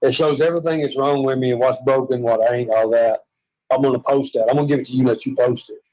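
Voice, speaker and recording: A man's voice: mid-range voice, fairly even intonation, quick pace, darkish tone, poor audio. A man with a Southern US accent, chatting and joking in a rambling, self-mocking way from a hospital room.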